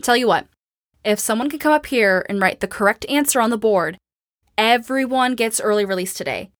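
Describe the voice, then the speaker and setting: An actress playing a witty, sarcastic young schoolteacher in a post-apocalyptic audio drama, with a wry, bantering manner. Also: female voice, on the high side, animated, fairly quick, a little hiss or hum, close to an everyday voice. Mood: encouraging, lively. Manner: wry, dry-humored, bantering. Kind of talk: naturalistic audio drama acting.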